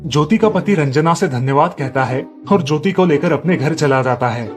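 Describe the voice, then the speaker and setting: A man's voice: a little high, darkish tone. A man narrating a scripted moral family story. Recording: music under the voice. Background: background music.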